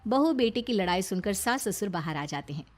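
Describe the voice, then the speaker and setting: A woman's voice: medium pitch, expressive, a little fast, a little mellow, soft background music. A woman reading scripted, dramatic narration for a moral story.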